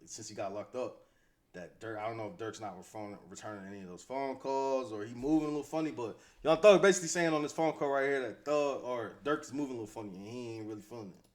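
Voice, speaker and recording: A man's voice: mid-range voice, fast talker. A man with an American accent giving casual, off-the-cuff commentary as a host, slangy and conversational, often repeating himself. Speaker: host commentating on hip-hop news.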